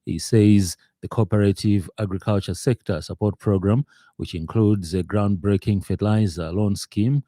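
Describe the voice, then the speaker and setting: A man's voice: on the low side, warm tone, no room sound. A man with a Zambian accent reading a scripted radio news bulletin as the newsreader in a studio.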